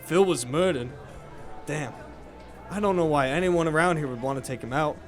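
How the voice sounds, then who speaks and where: A man's voice: on the high side, moderate pace, soft background music, natural voice. A man playing a friendly nightclub bartender in a noir audio drama, folksy and hesitant as he informs. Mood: confiding. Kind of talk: noir radio drama dialogue.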